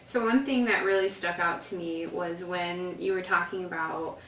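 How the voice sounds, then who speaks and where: A woman's voice: lowish voice, steady tone, moderate pace, a bit bright, slight background noise. A woman with a Chicago accent, Polish her first language, hosting an interview in a chatty, enthusiastic way. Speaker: interview host. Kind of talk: casual interview conversation. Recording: echoey room, mic at a distance.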